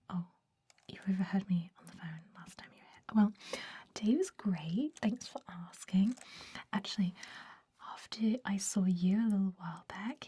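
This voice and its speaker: A woman voicing a chatty, gushing female vampire office worker in a breathy, whispered ASMR roleplay, full of casual filler words. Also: medium pitch, mellow.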